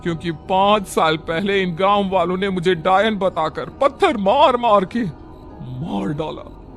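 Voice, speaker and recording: A man voicing a vengeful ghost woman who was stoned as a witch, in an animated horror story, with a shrill, wailing, bitter manner. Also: unhurried, soft background music.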